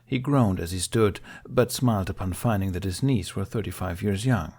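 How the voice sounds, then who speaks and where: A man's voice: sits low, moderate pace, a little mellow, slightly rough. A man reading a scripted story as an audiobook narrator.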